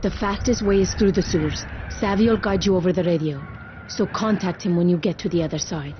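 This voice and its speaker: A woman's voice: fairly low pitch, brisk, dull audio, background noise, natural voice. A woman playing a purposeful resistance leader briefing a comrade in a video game. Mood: firm, hopeful. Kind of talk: video game cutscene acting.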